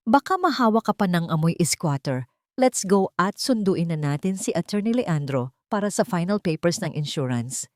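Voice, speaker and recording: A woman's voice: fairly low pitch, lively intonation, normal speed, no room sound, studio quality, natural voice. A woman voicing a wealthy older matriarch, imperious and dismissive, mixing English into her speech. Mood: contemptuous. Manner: dismissive, imperious, Taglish-speaking.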